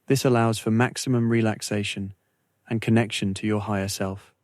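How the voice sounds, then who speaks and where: A man narrating a scripted guided meditation in a slow, measured, soothing way with long pauses. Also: fairly low pitch, steady tone, darkish tone, dry recording.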